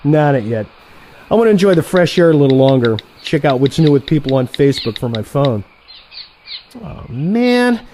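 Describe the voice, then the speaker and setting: A man's voice: a little high, sing-song, normal speed, warm tone, a little hiss or hum. A man acting as a teasing, grumbly friend in an audio play, with a wry, needling manner. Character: teasing, grumbly male friend of an old assassin.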